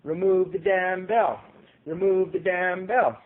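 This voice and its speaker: An angry, jeering townsperson put on by a male reader heckles in a raised, strained pitch. It is a storybook mob member heard through a narrow, phone-like recording. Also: slow pace. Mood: jeering, yelling.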